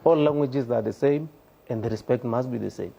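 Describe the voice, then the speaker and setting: A man's voice: mid-range voice, fairly quick, vocal fry, warm tone. A South African man speaking as a studio guest on a panel, earnest and hesitant with many fillers. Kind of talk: panel discussion.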